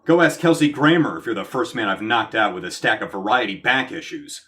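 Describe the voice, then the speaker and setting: An actor playing a combative, dramatic younger brother with an emphatic delivery in a comedic audio drama. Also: male voice, mid-range voice, expressive, brisk, bright, forceful tone. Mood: sly, menacing. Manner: melodramatic, emphatic.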